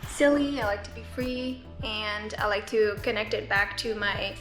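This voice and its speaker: A woman's voice: mid-range voice, normal speed. Chatty woman in her twenties, echoey studio. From Philippines. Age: 20s. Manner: chatty, relaxed, frequent "like" fillers. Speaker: artist vlogger. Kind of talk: casual vlog chat. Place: art studio. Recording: echoey room with faint music.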